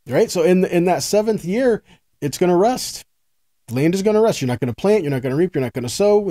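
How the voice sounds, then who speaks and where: Guy doing a folksy earnest bible teaching, warm relaxed voice, repeats himself to make the point, really dry recording. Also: fairly high pitch, no echo. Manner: earnest, folksy, repeats phrases for emphasis, checks in with "right?" and "okay?". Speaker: Bible teacher, host of a weekly teaching video. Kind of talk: sermon, informal Bible teaching.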